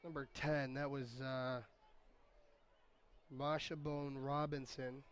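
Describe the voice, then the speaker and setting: A man with a raspy, hoarse voice doing solo play-by-play commentary of a soccer match, chatty and quick to correct himself. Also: mid-range voice, flat delivery. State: hoarse voice recovering. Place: outdoor stadium.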